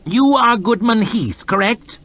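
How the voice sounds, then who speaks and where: A man's voice: very high voice, expressive, unhurried, a bit bright, pitched above the performer's own voice, exaggerated voice. A man voicing an arrogant, irritable male nobleman who bellows with contempt, in an audiobook. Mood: haughty. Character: pompous, irritable middle-aged male lord chamberlain.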